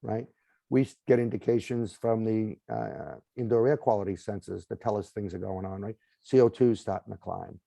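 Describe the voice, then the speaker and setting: A man with a Boston accent explains home systems in long conversational runs, tagging his points with a quick "right?". His voice sits low with a gravelly edge and a deeply mellow tone. It is recorded dry and close. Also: steady tone, no echo. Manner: explanatory, lists items, frequent "right?" tags. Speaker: smart home company guest. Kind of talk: conversational interview.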